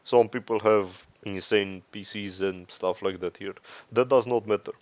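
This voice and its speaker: A man with a Romanian accent giving a casual, unscripted software tutorial, rambling and hesitant, often correcting himself. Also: fairly low pitch, fairly even intonation, moderate pace, dry recording. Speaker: tutorial host. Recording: dry, dull lo-fi microphone.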